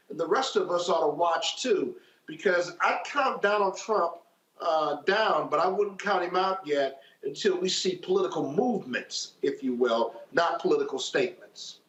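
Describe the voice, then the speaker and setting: A high-pitched man delivers political commentary in an emphatic, measured way. His voice comes through dull, with plenty of room echo. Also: fairly bright tone. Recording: dull, echoey remote-guest audio.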